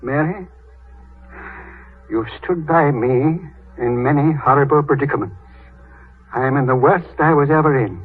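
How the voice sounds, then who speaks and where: An actor playing a commanding, theatrical prime minister in anguish, in the style of 1930s radio drama. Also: male voice, mid-range voice, a little slow, long pauses, breathy edge. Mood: grave despair. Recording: muffled, crackly 1938 radio broadcast.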